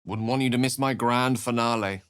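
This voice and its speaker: An actor playing an erudite, urbane wizard with a dry wit and a theatrical, articulate way of speaking in a video game. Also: male voice, medium pitch, fairly even intonation, normal speed, a bit bright, clear, resonant tone, natural voice. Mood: urbane, measured. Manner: theatrical, articulate, formal wording. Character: eloquent, erudite male wizard, urbane and dryly witty. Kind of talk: video game character dialogue.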